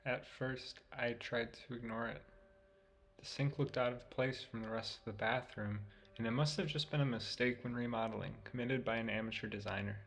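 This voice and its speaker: Man acting a brooding, creaky-voiced obsessive narrator. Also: mid-range voice, steady tone. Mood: brooding unease. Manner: brooding, deliberate, melodramatic. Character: obsessive, increasingly unhinged man confessing his fixation. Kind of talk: scripted first-person radio drama monologue. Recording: loud music under the voice. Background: music.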